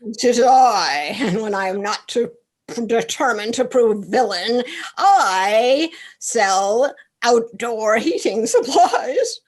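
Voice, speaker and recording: A woman's voice: fairly high pitch, lively intonation, slow pace. A woman playing a theatrical villain turned cheerful salesman in a comic radio advertisement. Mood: smug, jovial.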